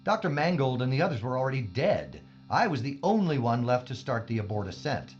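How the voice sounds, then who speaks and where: A man's voice: mid-range voice, very animated, a little fast, natural voice. A man playing a prickly, condescending scientist who speaks formally and precisely in a space audio drama. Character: haughty, exacting male scientist, prickly loner aboard spaceship. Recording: dull band-limited audio with faint music.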